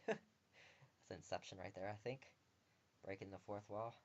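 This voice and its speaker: A man's voice: on the low side, takes it slowly, muffled recording, a little hiss or hum. A young man reading a visual novel aloud as a let's player, expressively, with casual wry asides. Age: young adult.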